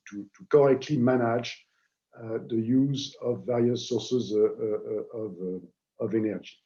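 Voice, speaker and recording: A man with a French accent giving a webinar presentation, pausing often with "uh" between words. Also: medium pitch, mellow. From France. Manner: halting, with frequent "uh" fillers. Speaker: guest presenter. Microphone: video call. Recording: dull lo-fi online call audio.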